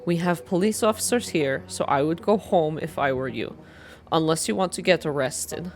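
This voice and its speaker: A woman's voice: deep voice, moderate pace, soft background music. A woman voicing an older male huntsman with a stern, contemptuous, threatening manner in a story reading. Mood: contemptuous warning.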